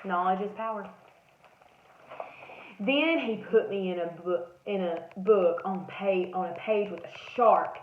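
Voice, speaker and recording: A woman's voice: unhurried, slight background noise, lightly acted. A woman voicing a once-terrified, plucky male bookmark in a theatrical, dramatic picture-book read-aloud. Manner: theatrical, rising from hushed suspense to high cries. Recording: roomy echo, slightly dull lo-fi sound.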